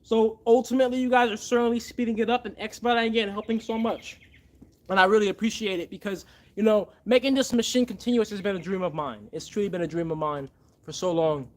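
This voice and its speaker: A man's voice: high-pitched, fairly even intonation, quick pace, a little mellow. A man hosting a casual livestream, earnestly thanking donors by name and rallying viewers. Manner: fervent, rallying. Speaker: livestream host and machine builder.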